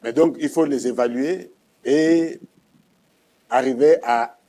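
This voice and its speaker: Mellow Congolese man giving testimony. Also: mid-range voice, slow pace.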